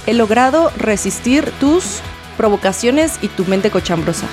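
A Mexico City woman on a morning sports radio show, her voice a touch high. She teases her co-host and reads news items in an easy, playful way. Faint music sits under her. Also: animated, a little mellow. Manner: playful, teasing, quick to laugh.

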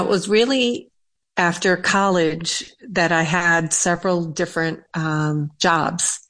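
A woman from western Massachusetts, a panel guest and nature educator, who answers in a measured, unhurried way. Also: on the low side. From western Massachusetts, USA. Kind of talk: conversational answers.

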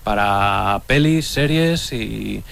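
A man's voice: fairly low pitch, expressive, extremely slow, vocal fry, a bit bright, slight background noise. A man from Bilbao, a visual effects artist, speaking as a contestant in a hesitant, thoughtful way. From Bilbao, Spain.

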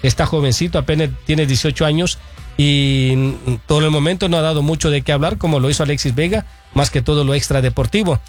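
Agreeable sports co-host, music behind. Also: male voice, fairly high pitch, a little fast. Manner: agreeable, frequent back-channel "ujú". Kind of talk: casual sports chat reading viewer messages.

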